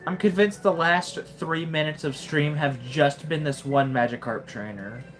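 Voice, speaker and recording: A woman's voice: low-pitched, a little slow, soft background music. A woman in her twenties casually commenting on her gameplay during a live stream, wry and joking, often exclaiming to herself. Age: 20s.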